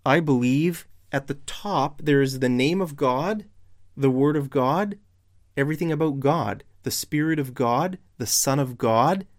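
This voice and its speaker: A man with a hoarse, failing voice giving casual online Bible commentary, posing pointed questions to his audience. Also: on the high side. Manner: slow and deliberate. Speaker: religious video commentator.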